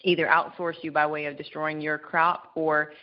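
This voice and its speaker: A woman's voice: sits low, level tone, moderate pace, highs cut off. A woman taking part in a discussion, talking in an informal, rambling way. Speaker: discussion participant. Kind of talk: casual discussion, recounting.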